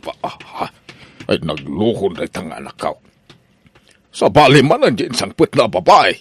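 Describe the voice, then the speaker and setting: A man's voice: fairly low pitch, huge pitch swings, a little slow, slight background noise. A man with an Ilocano Filipino accent playing an exasperated, nosy father in a comedy radio drama, full of stammered exclamations.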